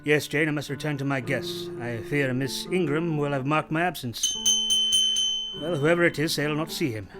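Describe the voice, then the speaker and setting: An actor playing a commanding, brooding gentleman past forty in a radio play, with an imperious, brusque manner. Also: on the high side, moderate pace, over loud music, lightly acted.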